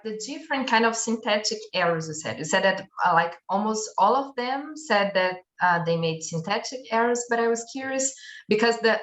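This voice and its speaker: A woman's voice: mid-range voice, a bit bright, roomy sound, low-quality recording. A woman on an academic panel asking and debating with many fillers like "uh" and "like". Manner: searching, filler-heavy questions.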